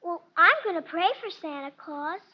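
An earnest little girl in a forties radio play. Her voice is extremely high and she speaks simply and deliberately. The vintage recording is muffled. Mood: earnest, trusting. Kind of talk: 1940s radio drama acting.

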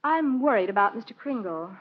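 High-voiced, practical career mother. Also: animated, fairly quick, slightly rough. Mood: worried. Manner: businesslike, skeptical, hesitant when flustered. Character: brisk, practical, flustered working mother and store executive. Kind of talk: 1940s radio acting. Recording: muffled vintage 1940s radio recording.

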